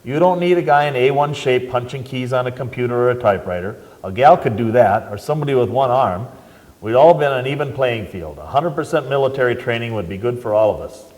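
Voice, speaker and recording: A man's voice: mid-range voice, expressive, fairly quick, darkish tone. An older veteran stating his opinions emphatically. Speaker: veteran panelist. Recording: reverberant community TV studio.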